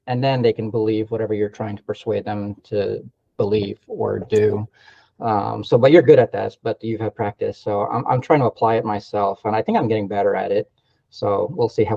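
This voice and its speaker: A man from the Philippines chatting casually on a remote call as a mentee, haltingly thinking aloud. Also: on the low side, vocal fry. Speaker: mentee learning real estate investing. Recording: muffled call audio with room echo.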